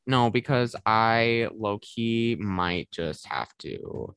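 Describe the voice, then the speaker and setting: A man's voice: lowish voice, lively intonation, extremely slow, fairly bright tone, smooth, no echo, clean studio sound. A teenage boy hosting a podcast, chatting casually and rambling with lots of "like.". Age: teens. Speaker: podcast host. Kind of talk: casual chat.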